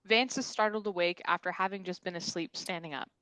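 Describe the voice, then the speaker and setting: A woman's voice: fairly low pitch, fairly even intonation, a little fast, pushed and bright, clean tone, dry recording, poor audio. Woman narrating stage directions, dry sound. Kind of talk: scripted narration at a comedy table read.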